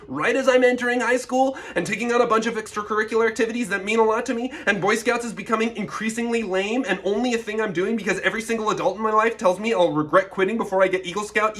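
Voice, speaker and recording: A teenage boy scout, played by a man, high in pitch and very animated. He delivers a comic campaign speech with whiny bragging and obvious reluctance. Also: quick pace, hardly any pauses. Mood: cocky, reluctant. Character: cocky, reluctant fourteen-year-old boy scout.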